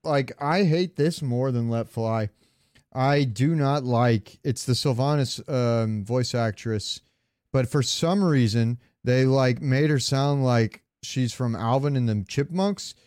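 Smooth-voiced man, dry close sound. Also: mid-range voice, slow pace, a little mellow, dry recording. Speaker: podcast co-host. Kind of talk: casual gaming chat.

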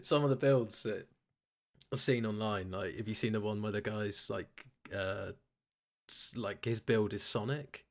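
A man's voice: on the low side, unhurried. A man hosting a podcast in casual, informal chat, with a bantering way of talking. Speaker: podcast host.

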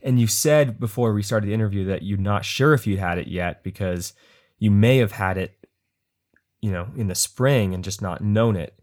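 A man's voice: on the low side, normal speed. Laid-back joking male interviewer. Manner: relaxed, joking. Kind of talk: casual interview chat.

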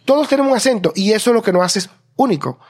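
A man co-hosting a casual talk show, telling stories quickly and with energy. His first language is Spanish, and he speaks English with a Spanish accent. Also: high voice. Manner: fast, animated storytelling. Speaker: podcast co-host. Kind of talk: casual conversation.

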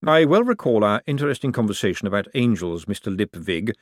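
A man voicing a cool, calculating older ruler who speaks dry, precise and deliberate in an audiobook. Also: lowish voice, very animated, dry recording. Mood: dry, knowing.

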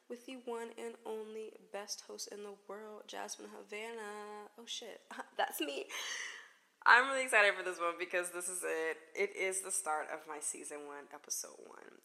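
A woman's voice: on the low side, creaky, bright, forceful tone. A woman in her twenties hosting her own podcast, talking in a chatty, playful way and often laughing. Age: 20s. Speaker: podcast host. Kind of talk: casual solo podcast chat.

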